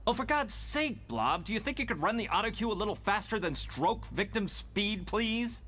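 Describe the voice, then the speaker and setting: A man's voice: high-pitched, lively intonation, normal speed, sharp tone, heavily acted. An actor voicing an arrogant, impatient young male mutant leader in a motion comic, fussy and sarcastic. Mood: irritated. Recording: prominent music behind the voice.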